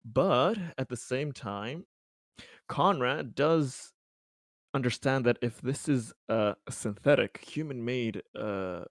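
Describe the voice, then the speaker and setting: A man playing a tabletop roleplaying game, improvising his character's actions in a halting way, searching for words. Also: medium pitch, no echo, studio quality.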